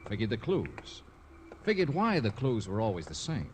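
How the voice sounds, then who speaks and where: A tough, commanding private eye with a low voice that swings in pitch. He talks in brusque, clipped hardboiled lines, as in a noisy old 1940s radio serial. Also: wildly swooping pitch, moderate pace, a little mellow. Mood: deliberate, pressing. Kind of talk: 1940s radio detective drama acting.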